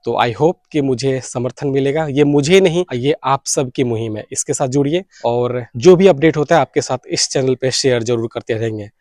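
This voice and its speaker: A man's voice: mid-range voice, normal speed, hardly any pauses, darkish tone, no echo, studio quality. An Indian man making an earnest campaign appeal as a video host, mixing English words into his speech. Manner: Hindi mixed with English words. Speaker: YouTube host and radio enthusiast.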